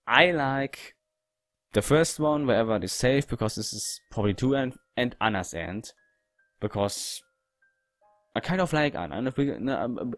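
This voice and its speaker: A man giving casual game commentary in halting, non-native English phrasing. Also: mid-range voice, no room sound. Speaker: gaming video host.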